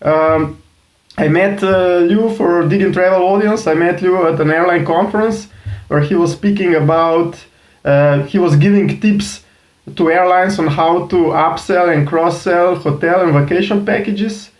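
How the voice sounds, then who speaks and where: A fairly high-pitched man hosts an interview, asking long, halting questions that stall on "uh" and then pick back up. His voice carries a noticeable room echo. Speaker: interview host.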